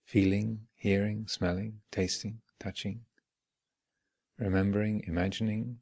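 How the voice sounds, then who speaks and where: A man leading a guided meditation as a teacher, speaking in measured, contemplative short phrases with long reflective pauses. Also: on the low side, level tone, vocal fry, darkish tone. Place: meditation retreat hall.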